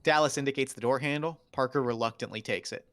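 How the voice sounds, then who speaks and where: A man's voice: mid-range voice, fast talker, fairly bright tone. Man reading terse screenplay directions. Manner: terse, clipped phrases. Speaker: narrator reading stage directions. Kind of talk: dramatic screenplay narration at a table read.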